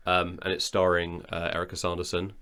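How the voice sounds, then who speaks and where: A man's voice: low-pitched, monotone, brisk, pushed and bright, clean tone. A man chatting casually as a co-host, in a rambling, wry, joking way. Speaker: audio drama maker, co-host. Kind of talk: casual studio chat about productions.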